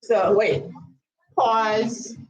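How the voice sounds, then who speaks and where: A woman's voice: fairly high pitch, fairly even intonation, drawn-out words, low-quality recording. A woman of about thirty, telling a personal story casually in a chatty, slangy way full of asides. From US Virgin Islands. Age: around 30. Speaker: storytelling vlogger.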